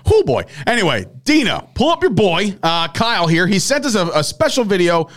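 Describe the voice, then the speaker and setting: A man's voice: high voice, huge pitch swings, brisk, a bit bright. Laughing, rambling male podcast host. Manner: rambling, laughs often, profane. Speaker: sports betting show host. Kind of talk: casual podcast banter.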